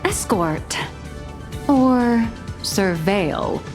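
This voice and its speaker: A woman voicing a cool, shrewd young woman in a video game, with a deliberate, dryly sardonic delivery. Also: mid-range voice, lively intonation, over music. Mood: suspicious.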